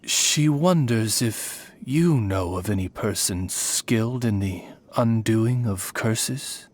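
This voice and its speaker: Slow, solemn, courtly raven butler. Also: male voice, fairly low pitch, darkish tone. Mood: polite. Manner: formal, courteous, measured. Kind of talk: video game character dialogue.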